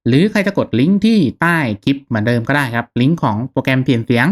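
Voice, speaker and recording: A man narrating a casual step-by-step software tutorial in an informal, instructional manner. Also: mid-range voice, lively intonation, fast. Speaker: tutorial host.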